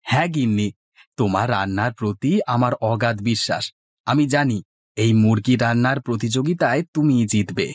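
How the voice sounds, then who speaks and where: A man's voice: medium pitch, moderate pace, no room sound, natural voice. Man acting a theatrical, scheming village husband. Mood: coaxing. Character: village milkman husband, eager, flattering and scheming. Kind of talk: Bengali cartoon dialogue. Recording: music bed behind the voice.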